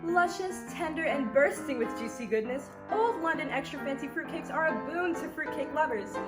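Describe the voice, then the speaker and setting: High-pitched woman radio ad announcer. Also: lively intonation, moderate pace. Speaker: commercial announcer. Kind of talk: old-time radio advert, gushing sales read. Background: music.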